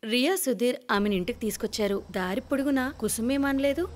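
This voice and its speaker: A woman's voice: a little high, fairly quick, slight background noise. A woman narrating a scripted moral story. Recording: long reverberant hall echo.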